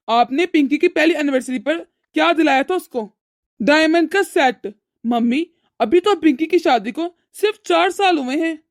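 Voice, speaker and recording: A woman voicing an exasperated, cash-strapped adult son who protests and reasons with his mother in an animated family drama. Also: high voice, dry recording, clean studio sound, lightly acted.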